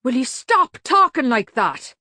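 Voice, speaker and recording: A woman voicing an exasperated male gang leader in an audiobook, with gruff, impatient authority. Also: medium pitch, animated, moderate pace, dry recording, lightly acted. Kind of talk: audiobook character voice.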